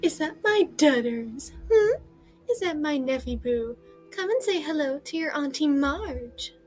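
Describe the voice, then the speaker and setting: A woman acts as an overbearing middle-aged aunt in an audio play. Her voice sits fairly high and is full of haughty bluster, switching between scolding and cooing, with clear music behind it. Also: lively intonation, a little slow, a little mellow. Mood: doting. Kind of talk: audio drama acting.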